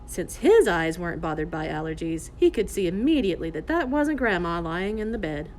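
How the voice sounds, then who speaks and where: A woman's voice: lowish voice, big pitch swings, brisk, darkish tone. A woman narrating a scripted fairy tale for children as the storyteller. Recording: faint background noise.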